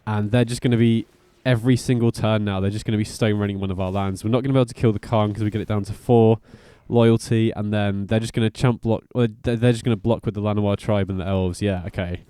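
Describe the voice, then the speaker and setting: A man's voice: lowish voice, steady tone, fast, few pauses, dark tone, smooth, no room sound. A man giving informal, slangy commentary as host of a card game guide. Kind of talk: casual gameplay commentary.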